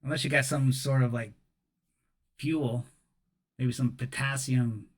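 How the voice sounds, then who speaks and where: A man at a tabletop game, talking at an ordinary male pitch. He is loose and casual, tossing out ideas and curse words as he goes. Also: steady tone, a little slow. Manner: casual, swearing, improvising. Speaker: player.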